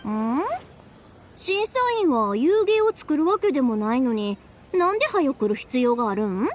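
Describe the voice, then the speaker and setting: Bright young Kansai woman, high-pitched. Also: very animated, fast talker. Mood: friendly, caring. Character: cheerful, caring young woman, friendly and considerate. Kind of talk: Japanese video game voice acting. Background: game music.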